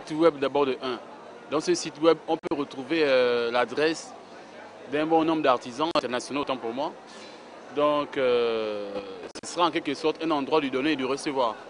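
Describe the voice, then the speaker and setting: A man, an artisan, answering a street interview in a halting way with many fillers and repeated words. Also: medium pitch. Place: indoor craft fair stall. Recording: steady background noise.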